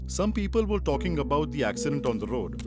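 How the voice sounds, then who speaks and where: High-voiced male storyteller over music. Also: very animated, quick pace. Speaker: narrator. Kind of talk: scripted children's story narration.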